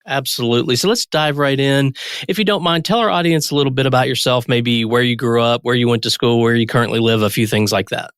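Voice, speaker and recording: A man's voice: mid-range voice, fast, fairly bright tone, clear, resonant tone, no echo, clean studio sound. A man hosting a podcast, giving introductions and putting questions to a guest. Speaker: podcast host and interviewer. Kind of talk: scripted introduction and interview questions.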